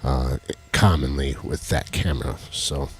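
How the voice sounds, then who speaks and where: A man with a deep voice, co-hosting a casual live stream and joking as he fiddles with his tech. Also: fairly even intonation, a little slow, slightly rough, no echo. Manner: rambling, jokey, fiddles with tech while talking. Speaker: co-host of a live stream show. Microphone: headset with headphones.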